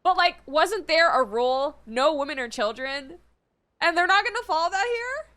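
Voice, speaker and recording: A woman doing casual live commentary as she plays a game, excitable and slangy. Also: extremely high pitch, normal speed. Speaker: streamer reacting to a game. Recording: faint game music behind.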